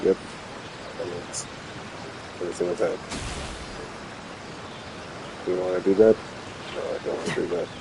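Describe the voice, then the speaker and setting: A man's voice: sits low, steady tone, moderate pace, vocal fry, long pauses, noisy background. A man giving casual gameplay commentary as a streamer, muttering dry, sarcastic asides. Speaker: streamer playing a video game.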